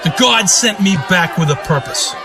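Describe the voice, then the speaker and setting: A man's voice: sits high, lively intonation, moderate pace, raised voice, music in the background, a crowd in the background. A man playing a proud, vengeful duke who claims a throne in a fantasy radio drama, declaiming in righteous, grandiose oratory. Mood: fierce, righteous. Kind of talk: radio drama monologue in a fantasy audio play.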